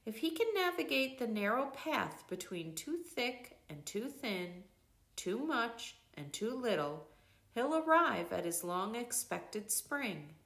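An older woman narrates a literary piece at a slow, careful pace. Her pitch rises and falls freely with expressive phrasing. The recording is roomy and echoing, like a home reading. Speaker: reader of a nature devotional book. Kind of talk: scripted narration, literary read-aloud.

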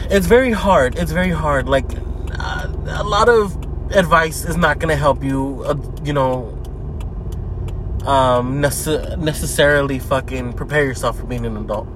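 A man's voice: a little high, measured pace, long pauses. Chatty young man talking while driving. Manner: loose, swearing, rambling with many fillers. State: slightly sick, deeper voice. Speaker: podcast host. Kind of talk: casual talking-to-camera vlog. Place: inside a car while driving. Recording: clear car noise behind. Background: car road noise.

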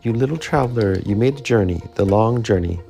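A man doing a soft-spoken young canoe maker. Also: fairly high pitch, huge pitch swings, quick pace, vocal fry, faint music behind, voice pushed up, exaggerated voice. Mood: tender.

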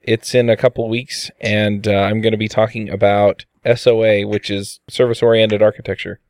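A man's voice: lowish voice, flat delivery, normal speed, darkish tone, no room sound, studio quality. A man hosting a podcast panel, upbeat as he moves the show along. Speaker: podcast host. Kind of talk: casual podcast chat.

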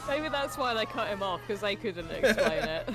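A woman's voice: medium pitch, lively intonation, moderate pace, loud music behind the voice. A woman co-hosting a casual film reaction, sardonic and joking, with plenty of swearing. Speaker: podcast co-host. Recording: film soundtrack playing behind.

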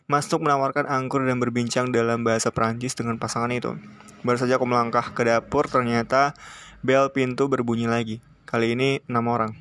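Even-toned man reading a story. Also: medium pitch, a little hiss or hum. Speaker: audiobook reader. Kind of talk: scripted literary narration in first person.

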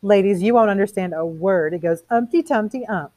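A woman's voice: medium pitch, brisk, darkish tone, no echo, voice pushed up, close to an everyday voice. A woman voicing a nervous, fussy housewife from a novel, quavery and coaxing with forced cheer. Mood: brittle cheer.

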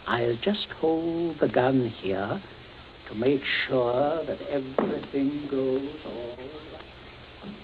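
Animated, menacing gentleman patriarch. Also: mid-range voice, a little slow. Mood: menacing, controlled. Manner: polite, clipped orders, falsely jovial. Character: genteel, menacing middle-aged father, coolly commanding at gunpoint. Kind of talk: old-time radio drama acting. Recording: tinny band-limited old radio, faint noise.

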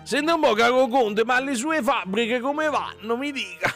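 A man's voice: extremely high pitch, normal speed, a bit bright, faint music behind. A man playing a pompous, stingy Duke-Count host in an improvised comic radio sketch, chuckling and mock-ceremonious as he addresses his noble guests. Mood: teasing. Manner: chuckling, mock-ceremonious, stumbling over words.

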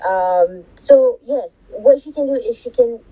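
A woman co-hosting a casual podcast from home over a call, talking loudly because of a bad connection and giving friendly advice. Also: a little high, slow pace, slightly rough, no echo, dull audio. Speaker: podcast co-host. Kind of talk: casual chat.

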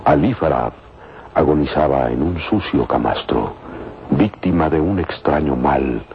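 A man narrating a radio serial in a solemn, portentous, deliberate dramatic style. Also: rumbling low, lively intonation, background noise. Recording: lo-fi radio serial with loud music.

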